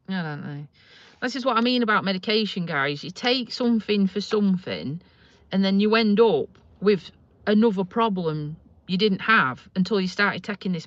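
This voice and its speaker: A woman's voice: mid-range voice, fairly bright tone, dry recording, highs cut off. A woman from Lincolnshire with a slight Yorkshire accent, chatting casually and rambling to viewers on a live stream from a parked car. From Lincolnshire, England. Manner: chatty, rambling, self-deprecating. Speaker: vlogger doing a live stream.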